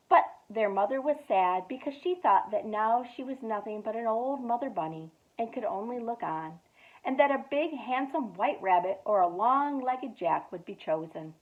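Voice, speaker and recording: A woman youth director reading a children's story aloud at storytime, expressive, with whispered asides. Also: mid-range voice. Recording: tinny phone-like sound.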